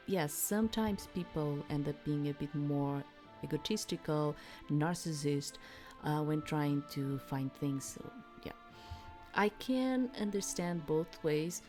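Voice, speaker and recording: A low-pitched woman streams a game and thinks aloud as she goes. Her delivery is casual and rambling, full of hesitations, with soft music running underneath. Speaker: game streamer, let's player.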